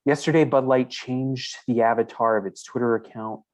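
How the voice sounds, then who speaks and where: A man's voice: mid-range voice, steady tone, normal speed. A man hosting a crypto news show, reading stories aloud and adding chatty asides in a casual, conversational way.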